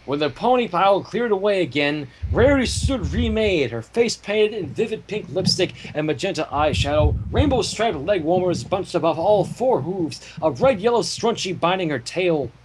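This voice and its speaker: A man narrates a fan story in a theatrical storytelling manner. His voice sits high for a man and moves animatedly, with faint noise in the recording. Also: animated, normal speed, few pauses, poor audio. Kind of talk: dramatic fan fiction narration.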